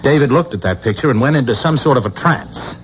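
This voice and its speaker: An actor playing an earnest, insistent family friend in a 1970s radio drama, urgent and persuasive. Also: male voice, medium pitch, animated, fast talker, close to an everyday voice. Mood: earnest, grave. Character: earnest, insistent male family friend breaking troubling news. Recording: dull, noisy 1970s radio recording.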